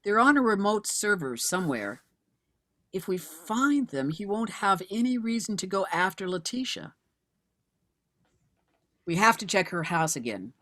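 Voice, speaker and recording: A woman's voice: mid-range voice, sing-song, measured pace, long pauses, a bit bright. A woman in a screenplay table read, playing a stubborn, terse widowed mother turned amateur investigator. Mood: determined.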